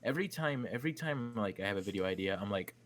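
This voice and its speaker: A man's voice: fairly low pitch, lively intonation, fast, a crowd in the background. A young man from Canada, chatting on a podcast in a rambling way, swearing a lot and restarting his sentences. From Manitoba, Canada. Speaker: small YouTuber and podcast co-host. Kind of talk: casual chat.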